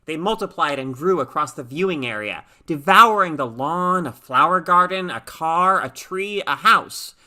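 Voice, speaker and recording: A woman reading a short story aloud as an expressive, dramatic narrator. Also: sits low, moderate pace, few pauses. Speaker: story reader.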